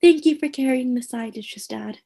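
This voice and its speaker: A woman's voice: high voice, normal speed, vocal fry, dark tone. A woman playing a wholesome, eager daughter in a comedic radio play, sweet and gushing.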